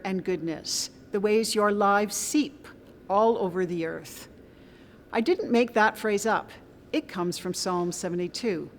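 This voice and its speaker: A woman hosting an event, closing it with a gentle, reverent blessing. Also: medium pitch, slight background noise. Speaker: event host.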